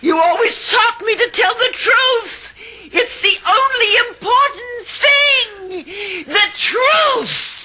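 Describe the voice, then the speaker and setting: High-pitched, horrified daughter. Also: female voice, animated, crowd noise, heavily acted. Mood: anguished, accusing. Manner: melodramatic, drawn-out delivery. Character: horrified grown daughter, anguished and accusing. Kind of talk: old-time radio drama acting. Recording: noisy vintage radio drama recording.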